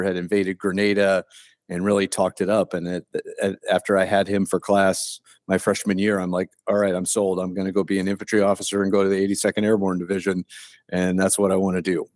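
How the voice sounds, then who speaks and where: Plainspoken low-voiced retired officer. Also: male voice, a little mellow, dry recording, clean studio sound. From Ohio, US. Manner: plainspoken, unhurried storytelling. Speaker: guest, retired Army colonel. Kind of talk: casual interview conversation. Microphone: remote call.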